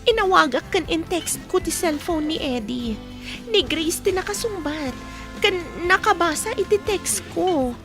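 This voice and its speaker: A woman's voice: extremely high pitch, animated, a little slow, heavily acted. A woman with an Ilocano accent playing a spurned, clinging, bitter mistress in a radio drama. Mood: agitated. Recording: music bed behind the voice.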